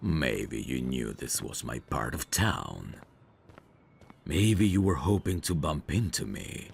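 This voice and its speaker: A man playing a dangerous, flirtatious mafia boss in an ASMR roleplay, smugly protective, with a teasing, self-assured, unhurried delivery. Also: very deep voice, big pitch swings, creaky, slight background noise, exaggerated voice. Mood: teasing, probing. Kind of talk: ASMR roleplay audio drama.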